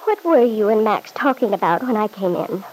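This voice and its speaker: A woman's voice: mid-range voice, animated, normal speed, close to an everyday voice. An actress playing an emotional, suspicious young woman, dramatic and hesitant, in an old-time radio serial. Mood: anxious suspicion. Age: young adult. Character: emotional, suspicious, self-absorbed young woman, former touring performer. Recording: faintly noisy old radio recording.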